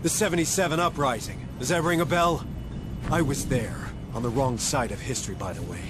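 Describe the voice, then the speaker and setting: An actor playing a hard-bitten military sergeant in a video game cutscene, talking in a gruff, confrontational, commanding way. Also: male voice, medium pitch, fairly quick, close to an everyday voice. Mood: tough, commanding. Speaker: sergeant in charge. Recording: game audio with ambient noise.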